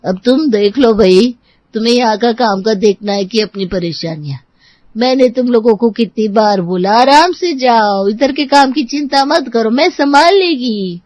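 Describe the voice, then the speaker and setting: A woman's voice: a little high, expressive, normal speed, a little mellow, no room sound, lightly acted. A woman playing a shrewd, stubborn older housemaid in an audio story, with a colloquial servant's way of talking. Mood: reassuring. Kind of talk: scripted audio story dialogue.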